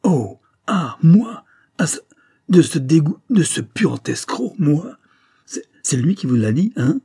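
A man's voice: moderate pace, dark tone. A man voicing an irascible, spluttering older former lawyer with a harsh voice, in an audiobook story. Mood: spluttering fury. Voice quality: harsh, rasping, shrill when angry. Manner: spluttering, outraged.

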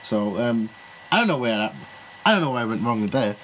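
A man's voice: mid-range voice, lively intonation, brisk, fairly bright tone, slight background noise, poor audio. A young man chatting casually as a prank caller. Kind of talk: casual chat.

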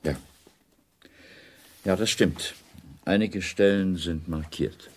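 An actor playing a troubled, reserved intelligence officer in a radio drama, restrained and halting. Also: male voice, low-pitched. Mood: quietly pained.

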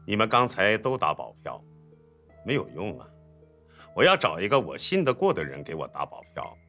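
A domineering army commander in a period drama. His deep, bright, forceful voice swings widely as he bargains and bullies. Faint music plays behind him. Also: big pitch swings, moderate pace, full character voice. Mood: sly, bargaining. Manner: commanding, haggling, bullying. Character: bossy, scheming, threatening male military regiment commander. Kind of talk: Chinese TV drama acting.

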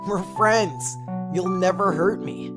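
A woman's voice: on the low side, slow. A woman voicing an earnest, awkward teenage boy, stammering and caring, in a cartoon comic dub. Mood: tender, awkward. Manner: stammering, reassuring. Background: background music.

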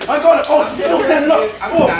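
A man playing a brash, bumbling male wildlife poacher in an improvised comedy skit, excitable and tacking "mate" onto everything. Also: extremely high pitch, normal speed, heavy background noise, pitched above the performer's own voice. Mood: boastful. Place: school building, indoors. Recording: echoey halls with crowd chatter. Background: students chattering.

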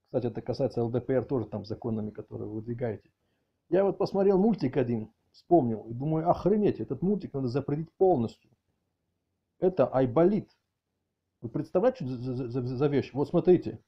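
A middle-aged man with a husky voice doing a mock-outraged comic rant, halting and repeating words as he exclaims. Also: medium pitch, animated. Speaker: video channel host. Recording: dull lo-fi audio, faint music behind.

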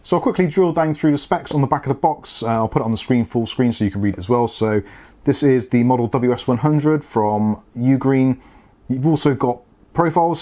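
A man's voice: mid-range voice, mellow. A man in his forties presenting a casual product review to camera, chatty and explanatory in manner. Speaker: tech review host. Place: small indoor home studio with brick-look wall.

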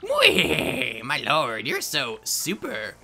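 A man voicing a fawning old witch servant in a video game fan dub, with a creaky, sharp voice. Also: fairly high pitch, wildly swooping pitch, very slow, exaggerated voice. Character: fawning old witch henchwoman to a monster king.

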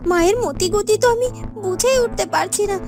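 A woman's voice: extremely high pitch, brisk. A woman voicing a meek, dutiful young village girl in a cartoon, anxious and eager to please. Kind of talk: cartoon voice acting. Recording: music behind the voice.

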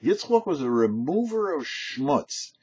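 An older man giving a short religious teaching as a rabbi, emphatic, with rhetorical questions and pauses. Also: on the high side, big pitch swings, darkish tone, slightly rough. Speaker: rabbi and host of a weekly Torah show. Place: small home office, indoors. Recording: dull, lo-fi webcam sound.

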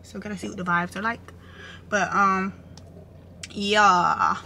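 Woman in her twenties, casual vlog chat, informal and chatty, medium pitch, noisy camera audio. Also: steady tone, slow, vocal fry, sharp tone. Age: 20s. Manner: informal, chatty, addresses viewers as y'all. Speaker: vlogger. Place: parked car and a room at home, indoors. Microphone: camera at arm's length or propped close.

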